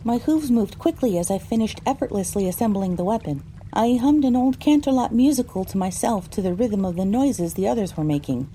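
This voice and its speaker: A woman's voice: medium pitch, expressive, fairly quick, few pauses, warm tone, a little hiss or hum, lightly acted, low-quality recording. A woman voicing a pony who narrates her own story, reading scripted first-person audio drama narration. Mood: measured.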